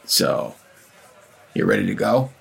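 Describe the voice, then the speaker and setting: Man voicing a gravelly, slangy cult heavy; pushy. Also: low-pitched, wildly swooping pitch, slow, full character voice. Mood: pushy, brusque. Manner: rough street slang, bullying. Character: gruff male cultist thug, pushy.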